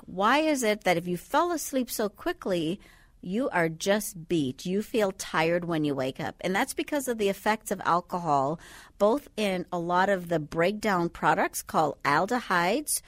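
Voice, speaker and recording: A woman from the Bahamas, a sleep physician, calmly explaining things step by step as a guest in a radio studio. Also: medium pitch, no echo. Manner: calm, patient, explains step by step. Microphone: studio microphone.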